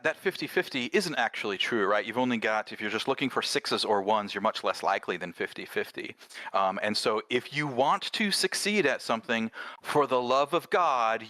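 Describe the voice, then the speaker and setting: A man's voice: medium pitch, sharp tone, no room sound. A man who creates games, casually explaining design in an articulate way and often checking that the listener follows. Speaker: game creator in conversation.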